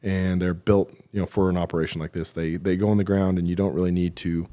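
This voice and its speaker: A man being interviewed as a military veteran, telling his story haltingly with self-deprecating, dry humour. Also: sits low, little pitch movement, warm tone. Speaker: podcast guest, military veteran.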